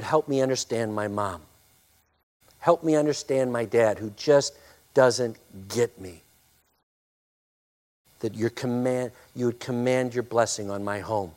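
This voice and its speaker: Man preaching, male pastor, loud voice, emphatic, mid pitch. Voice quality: loud, forceful voice. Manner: emphatic preaching that drops into a soft, hushed prayer. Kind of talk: sermon and closing prayer.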